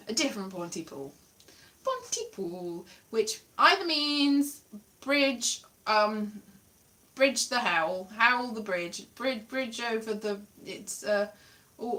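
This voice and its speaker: A woman from South Wales chats casually with her livestream viewers, wandering from one thought to the next and poking fun at herself. Her voice sits fairly high, and she is a little way off the mic, so it sounds slightly distant. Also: a bit bright. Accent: South Welsh, atypical. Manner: chatty, tangential, self-mocking, trails off and restarts. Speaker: livestream host. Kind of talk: casual rambling chat to viewers.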